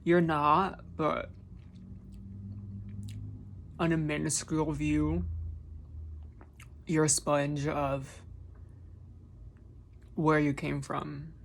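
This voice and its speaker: A man chatting his way through a makeup tutorial, drifting from one thought to the next. His voice carries a gravelly vocal fry and stays fairly flat in pitch. He speaks at an unhurried, slow pace. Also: a little hiss or hum. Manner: rambling, musing train of thought. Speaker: makeup vlogger. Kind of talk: casual chat during a makeup tutorial.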